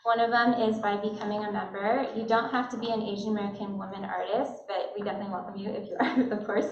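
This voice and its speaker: A woman's voice: medium pitch, steady tone, quick pace. A chatty woman welcomes guests to a gallery opening, filling her talk with "um"s and easy laughs. She sounds distant and dull, caught in an echoing room with a crowd murmuring behind her. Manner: chatty, many fillers, laughs easily. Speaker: arts organization staff member introducing the event. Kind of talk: casual welcome speech. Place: gallery opening reception, indoors.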